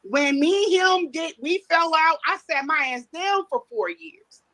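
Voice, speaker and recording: A woman's voice: sits high, expressive, unhurried, sharp tone, poor audio. Blunt defensive woman in her forties. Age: 40s. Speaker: interviewee in a played clip. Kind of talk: blunt personal account.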